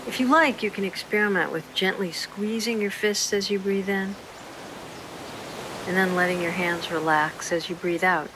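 A woman leading a guided meditation in a slow, soothing, gentle manner with unhurried pauses. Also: mid-range voice, background noise.

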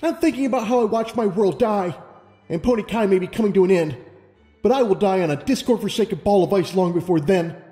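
A man's voice: high-pitched, fairly quick, warm tone, pitched above the performer's own voice, natural voice. A man voicing a young female unicorn who is skeptical, blunt and questioning. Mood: indignant. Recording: long hall reverb over music.

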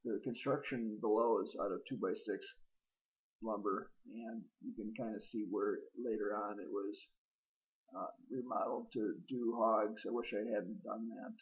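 A middle-aged man with a lowish voice talks his way through an old barn, pausing often with ums and uhs as he points things out. The sound is dull and lo-fi, straight from a handheld camera's built-in mic, outdoors and inside the barn. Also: fairly even intonation. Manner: rambling, hesitant, many ums and uhs. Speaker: homesteader filming his barn. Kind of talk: casual narrated walkthrough.

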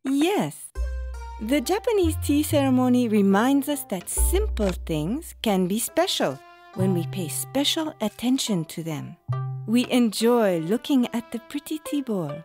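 A gentle, patient cartoon mother explains things with soft formality. Her slightly high voice moves slowly with lively rises and falls, over faint music. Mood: calm, instructive. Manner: measured, explanatory, softly formal. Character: gentle, patient mother hosting a tea ceremony. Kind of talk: children's cartoon voice acting.

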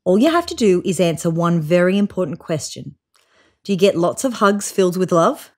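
A woman in her thirties reading a children's picture book aloud in an expressive, child-directed way. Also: lowish voice, normal speed. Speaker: storybook reader. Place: small room indoors, seated on a couch.

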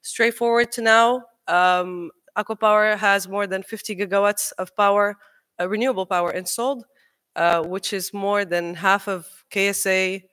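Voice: mid-range voice, fairly even intonation, moderate pace, sharp tone, smooth. A young adult from Morocco, giving an earnest, persuasive conference talk from a podium, with frequent ums and uhs. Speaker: conference speaker, engineer. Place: large auditorium stage. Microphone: podium microphone.

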